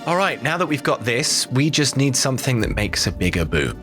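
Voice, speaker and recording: Lively man joining in a tabletop game. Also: medium pitch, a little fast, over music. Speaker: player in a tabletop role-playing podcast. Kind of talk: casual game chat.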